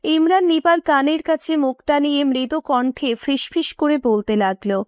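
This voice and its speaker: A woman's voice: high-pitched, moderate pace, no echo, studio quality. A woman narrator reading a romantic story in scripted storytelling style.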